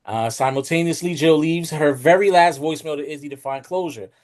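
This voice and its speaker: A man's voice: on the high side, brisk. A man hosting a podcast, steering the talk in a casual, conversational style. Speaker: podcast host who steers the discussion. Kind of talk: casual chat and reading out a plot summary.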